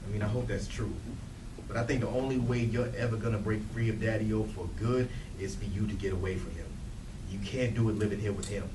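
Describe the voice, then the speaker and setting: A man's voice: fairly low pitch, fairly even intonation, a little fast, natural voice. Male actor as frustrated younger brother, play reading, earnest, emphatic, roomy distant recording, faint noise. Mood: insistent.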